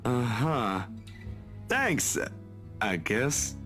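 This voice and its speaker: A man's voice: mid-range voice, wildly swooping pitch, very slow, a bit bright, faint music behind, heavily acted. A man voicing an easygoing but guarded young male photographer from a visual novel, hesitant and modest. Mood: sheepish.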